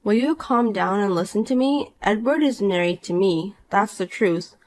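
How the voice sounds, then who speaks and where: A woman voicing a calm, cool married young woman in a dramatized story, dry and patient. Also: medium pitch, moderate pace, lightly acted.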